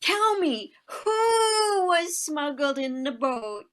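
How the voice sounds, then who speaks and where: A woman's voice: high-pitched, big pitch swings, very slow, a bit bright, full character voice. A woman playing a dignified, lovelorn old grande dame in a stage play, with a tremulous, stammering delivery. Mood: anxious, pleading. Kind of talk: stage acting in an online one-act play.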